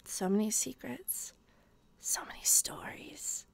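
A woman playing a teasing, obsessed female detective in a whispery, deliberate ASMR roleplay. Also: a little high, full character voice. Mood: teasing, probing. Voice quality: breathy, whispery. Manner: slow, deliberate, teasing, pausing. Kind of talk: ASMR roleplay, scripted intimate monologue.

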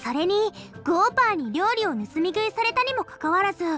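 High-voiced, cheerful teenage girl cook. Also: sing-song, brisk, pushed and bright, faint music behind, exaggerated voice. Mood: warm, easygoing. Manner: friendly, easygoing, open. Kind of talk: Japanese anime-style game voice acting.

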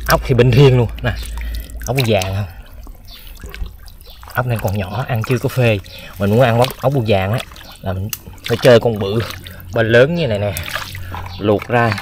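A man's voice: medium pitch, moderate pace, long pauses, background noise. A man vlogging casually outdoors while fishing in a drained pond, exclaiming and laughing often. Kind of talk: casual outdoor vlog narration.

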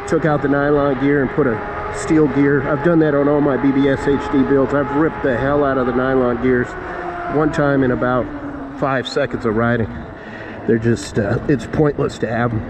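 A man's voice: medium pitch, fairly even intonation, moderate pace. A man narrating casually while riding an electric bike through city streets at night, rambling with fillers and self-corrections. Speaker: e-bike vlogger. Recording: loud road and wind noise.

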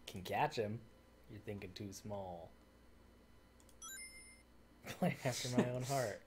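A man's voice: lowish voice, slow, a little hiss or hum. A man giving casual, joking game commentary and reading on-screen text aloud as a let's play host.

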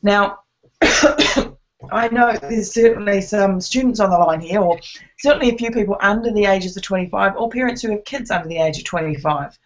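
A woman presents on a webinar, her voice a little croaky from a cold. She talks earnestly and persuasively, dotting her pitch with ums and uhs. The call audio is muffled and lo-fi. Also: moderate pace. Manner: earnest, persuasive, many fillers. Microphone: conference call line.

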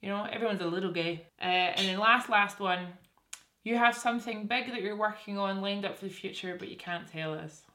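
A woman's voice: mid-range voice, a little fast, sharp tone. A young woman with a Scottish accent chatting casually to camera in a small room, answering viewers' assumptions in a self-deprecating way with lots of restarts. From Scotland. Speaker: beauty vlogger. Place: small indoor room with curtain backdrop.

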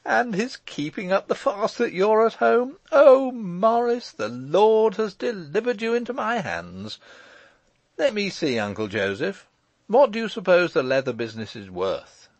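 A man voicing a brisk, teasing gentleman lawyer in an audiobook story, talking in an eager, emphatic, breezy way. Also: mid-range voice, sing-song, no echo, voice pushed up. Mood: gleeful.

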